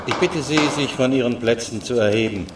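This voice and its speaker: Actor as stern judge, distant and formal. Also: male voice, mid-range voice, natural voice. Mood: stern, official. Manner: official, measured, impersonal. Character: stern formal male judge reading a verdict. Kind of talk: formal courtroom reading. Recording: distant microphone, noisy courtroom ambience.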